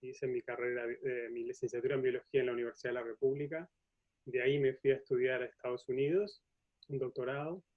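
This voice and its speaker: A man's voice: medium pitch, steady tone, fast. A man with a Uruguayan accent giving an informal science lecture as a professor, explaining things conversationally. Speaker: museum curator and professor giving a talk. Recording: dull, lo-fi audio.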